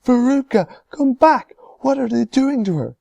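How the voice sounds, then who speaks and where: A man's voice: extremely high pitch, expressive, moderate pace, creaky, warm tone, no echo, far from the mic, lo-fi sound, voice pushed up, exaggerated voice. A man voicing a frantic, shrieking wealthy mother. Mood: panicked. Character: shrieking panicky rich mother.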